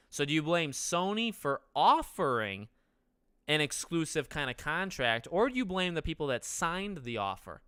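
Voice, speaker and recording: A man's voice: medium pitch, bright, forceful tone, clear, resonant tone, no room sound, clean studio sound. A young man with a nasal voice, hosting a casual gaming live stream from his living room, rambling and asking his chat questions. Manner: conversational, rambling with restarts, asks chat questions. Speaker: gaming YouTuber streaming host. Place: living room with couch behind.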